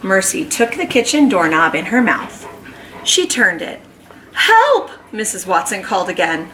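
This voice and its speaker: A woman who teaches kindergarten, reading a children's book aloud at home in an animated, expressive way. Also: on the low side, slight background noise, poor audio. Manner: animated, slow and expressive storytelling.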